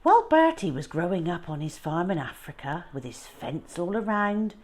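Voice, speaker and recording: A woman voicing a wistful old lady who recalls her lonely childhood in a measured, reflective storybook manner. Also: lowish voice, sing-song, darkish tone. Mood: wistful reminiscing.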